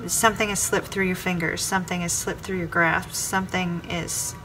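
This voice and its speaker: A woman's voice: fairly low pitch, level tone, moderate pace, rough recording. A woman giving a weekly tarot reading, speaking straight to the viewer by star sign and circling back over her phrases. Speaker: tarot reader. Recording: clear background music under voice. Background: music playing under the voice.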